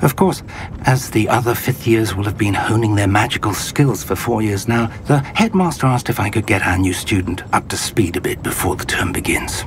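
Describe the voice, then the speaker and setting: A man playing a kindly, learned older wizard professor in a video game, with a measured, formal, articulate way of talking. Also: lowish voice, lively intonation, a little hiss or hum. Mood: measured, explanatory. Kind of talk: cinematic game dialogue acting. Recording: music under the dialogue.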